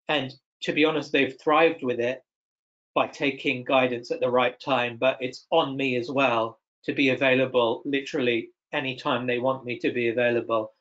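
Mid-pitched man on dull call audio. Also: fairly bright tone. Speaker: banking executive, panelist. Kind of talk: considered panel answer. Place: indoor room, on a video call.

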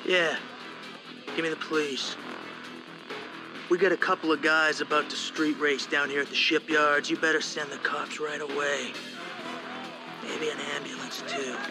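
A man's voice: a little high, steady tone, measured pace, pauses often, low-quality recording. An actor playing a cocky, taunting young male street racer in a video game, with a sneering, mocking delivery. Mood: smug. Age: young adult. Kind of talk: video game cutscene acting. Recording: loud music over the voice.

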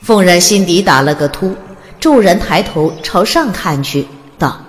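A woman's voice: fairly low pitch, animated, unhurried. Woman narrating a story in long reverb. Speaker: audiobook narrator. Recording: long reverb, music underneath. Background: music.

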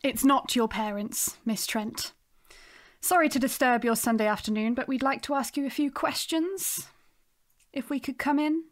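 Woman acting police sergeant, calm n polite, fairly high voice, audio drama. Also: normal speed, natural voice. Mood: reassuring. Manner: calm, courteous, matter-of-fact. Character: polite, measured female detective sergeant.

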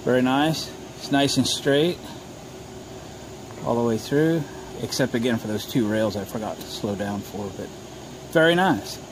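A man's voice: medium pitch, a little slow, long pauses. A man hosting a casual DIY tool demonstration in an informal, folksy way, thinking aloud as he talks. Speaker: DIY workshop host. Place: driveway and open garage workshop. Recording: clear background noise, outdoor ambience.

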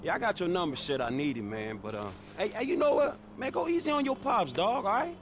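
Lively, streetwise young hustler. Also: male voice, high voice, brisk, slight background noise. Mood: easygoing. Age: young adult. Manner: slangy, profane. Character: streetwise young man, blunt, easygoing, sceptical hustler. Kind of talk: video game cutscene acting.

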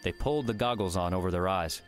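Male narrator, low register, scripted fiction reading over soft music. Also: fast. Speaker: audiobook narrator.

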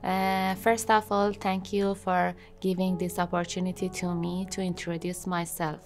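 A woman's voice: fairly low pitch, little pitch movement, a little slow, soft background music. A woman in her thirties from Iran, a native Persian speaker, introducing herself to camera in a studio, with many hesitant fillers. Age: 30s. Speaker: job seeker introducing herself. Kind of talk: unscripted self-introduction to camera. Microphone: seated, medium shot.

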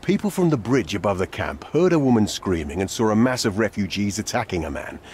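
An actor playing a gruff, cynical older police inspector in a video game, blunt, irritable and sardonic. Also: male voice, lowish voice, big pitch swings, a little fast, darkish tone, a little hiss or hum. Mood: blunt, matter-of-fact. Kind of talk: video game cutscene acting.

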